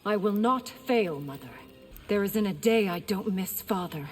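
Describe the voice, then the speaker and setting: An actress playing a loyal, composed young princess in a video game, speaking with earnest poise. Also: female voice, medium pitch, expressive, a little slow, big-room sound, a little hiss or hum, lightly acted. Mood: composed, dutiful. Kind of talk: dramatic video game dialogue.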